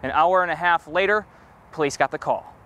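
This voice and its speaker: A man reporting live for TV news outside a factory, in a measured, formal delivery. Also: fairly high pitch, fairly bright tone. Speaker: TV field reporter. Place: outdoors at a factory.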